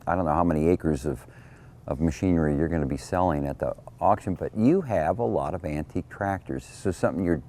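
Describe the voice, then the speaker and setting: A man's voice: low voice, a little fast, mellow. A man hosting a farm show, interviewing a guest and narrating with folksy interjections.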